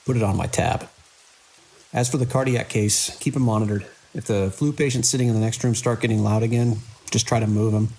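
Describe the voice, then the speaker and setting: A man playing a weary, caring middle-aged hospital director in an audio drama, measured and dry with small hesitant pauses. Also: fairly low pitch, creaky, darkish tone, rough recording. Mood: tired, reassuring.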